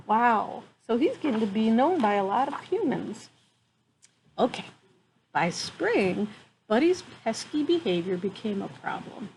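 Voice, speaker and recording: A woman reads a children's picture book aloud at a slow pace, adding chatty exclamations. Her voice is fairly high and amused. She is outdoors, with faint ambient noise behind her. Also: a little mellow, poor audio. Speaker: children's storyteller. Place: outdoors on a wooden deck among trees.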